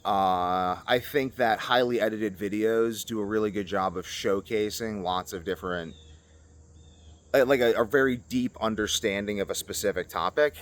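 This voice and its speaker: Deep-voiced rambling young man. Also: normal speed. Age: 20s. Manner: rambling, jumping between tangents. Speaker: video creator. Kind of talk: unscripted casual vlog talk to camera.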